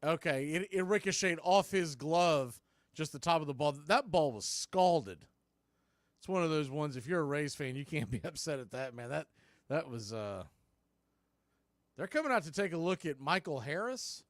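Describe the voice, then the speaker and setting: A man with a slightly high voice calls a baseball game live. He talks like a devoted fan, informal and colloquial, in the style of play-by-play commentary. The sound is close and dry, with no room echo. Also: lively intonation, normal speed. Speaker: baseball play-by-play announcer for a fan watch party.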